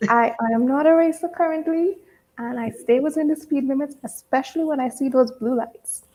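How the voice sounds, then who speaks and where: A woman's voice: sits high, a little fast, mellow, low-quality recording. A woman from Trinidad and Tobago, chatting casually as a guest on a live interview show and laughing easily.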